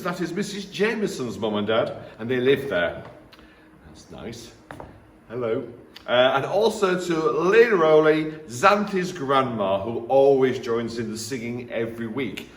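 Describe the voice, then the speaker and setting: A man with a slightly croaky voice, a primary school teacher leading an assembly in a chatty, gently jokey way. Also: mid-range voice, lively intonation, a little slow, reverberant. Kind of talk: friendly assembly address to pupils and families.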